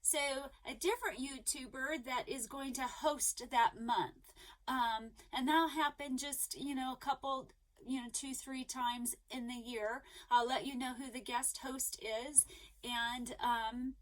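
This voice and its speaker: Upbeat woman vlogger with a high voice, slightly hoarse, rambling chat full of ums. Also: fairly even intonation, a little slow, poor audio. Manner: chatty, rambling with many ums and restarts; eager and upbeat. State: slightly hoarse, losing her voice. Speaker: crochet vlogger. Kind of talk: casual chat to viewers.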